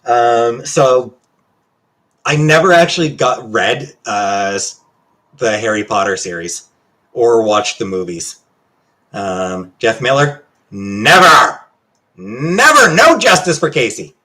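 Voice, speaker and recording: A man with a Canadian accent chatting casually on a livestream as he answers questions, halting and hesitant, often trailing off. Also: medium pitch, expressive, sharp tone. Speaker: livestream host.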